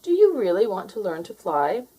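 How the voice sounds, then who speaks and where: Woman as a wise, husky old owl. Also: medium pitch, very animated, normal speed, dark tone. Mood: probing. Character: wise, solemn old male owl, patient mentor.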